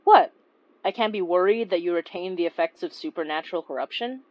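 A woman's voice: fairly low pitch, a little fast, muffled recording, natural voice. A woman playing a wry, caring paranormal investigator who narrates a horror audio drama in an intimate, conversational way. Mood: teasing. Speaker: lead character and narrator.

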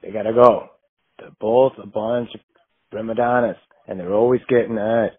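A man's voice: fairly low pitch, steady tone, moderate pace, no echo. A man ranting as a caller on voicemail, scornful and emphatic. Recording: rough, narrow phone voicemail.

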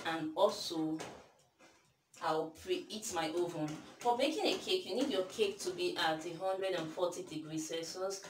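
Young woman, Nigerian accent, casual baking vlog, lowish voice, roomy tiled kitchen, distant camera mic. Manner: chatty, self-deprecating, talks while working. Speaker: baking vlogger. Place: small tiled home kitchen, indoors. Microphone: camera mic from across the kitchen. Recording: echoey kitchen from far off, noisy.

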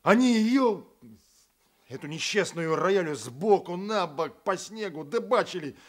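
An actor playing a blunt, loyal provincial Cossack sergeant, folksy and emphatic, in a radio play. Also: male voice, sits high, lively intonation, a little slow. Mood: indignant. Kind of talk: Soviet radio play acting.

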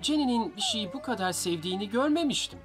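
Lively, friendly cartoon grown-up. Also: female voice, a little high, fast talker, music in the background. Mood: pleased. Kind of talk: animated children's cartoon dub.

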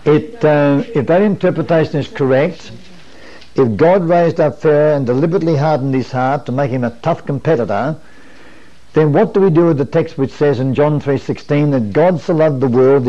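Older guy preaching, questions the crowd, mellow voice, muffled old tape. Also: a little high, brisk. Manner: asks the audience questions and repeats their answers. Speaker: preacher leading a Bible study. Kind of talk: camp meeting Bible study. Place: wood-paneled room indoors. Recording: dull lo-fi 1980s videotape.

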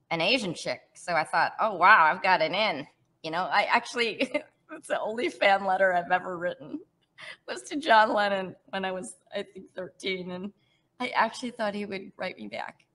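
Middle-aged actress, chatty and animated, slightly low voice, home video call. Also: female voice, moderate pace. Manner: chatty, warm, animated, laughs often. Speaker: actress answering fan questions. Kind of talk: casual virtual panel Q&A. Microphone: headset on video call.

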